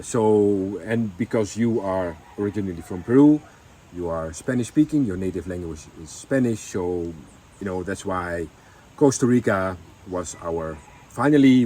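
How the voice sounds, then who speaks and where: A man's voice: sits low, animated, normal speed, few pauses, warm tone, slightly rough, low-quality recording. A man telling his family's story in a casual chat, with many ums and restarts. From Netherlands. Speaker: vlogger.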